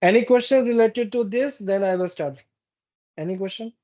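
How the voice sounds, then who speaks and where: A man giving a live software training walkthrough in a halting, instructive way, often repeating phrases. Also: high-pitched. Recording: dull, muffled lo-fi meeting recording.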